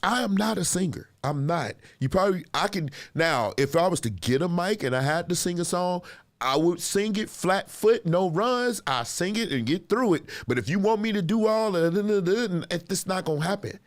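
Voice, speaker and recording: A lively man with a fairly high speaking voice talks straight to his viewers in a casual reaction show. He is a gospel musician and producer, laughs often, and repeats lines for emphasis with a voice that swings up and down. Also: moderate pace. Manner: animated, chatty, laughs often. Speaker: host reacting to clips.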